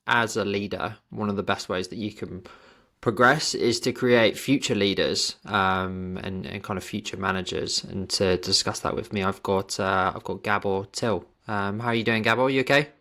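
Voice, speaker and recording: A man's voice: fairly low pitch, fairly even intonation, moderate pace, sharp tone. A man hosting a leadership interview, asking long, loosely worded questions with frequent restarts. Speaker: podcast host.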